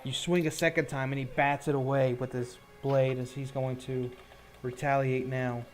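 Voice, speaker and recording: A man running a tabletop roleplaying game as game master, improvising measured, descriptive combat narration between rules calls. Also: mid-range voice, flat delivery, faint music behind.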